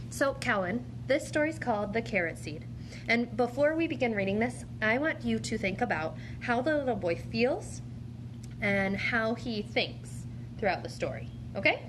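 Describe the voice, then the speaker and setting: A woman's voice: fairly high pitch, animated, normal speed. A woman teacher demonstrating an interactive read-aloud, speaking to a child in an encouraging, questioning way. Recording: clear background noise.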